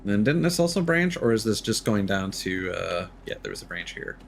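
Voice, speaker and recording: Low-voiced man rambling over gameplay. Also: sing-song, moderate pace, creaky, soft background music. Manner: rambling, thinking aloud with many fillers. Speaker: game streamer. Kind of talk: casual gameplay commentary.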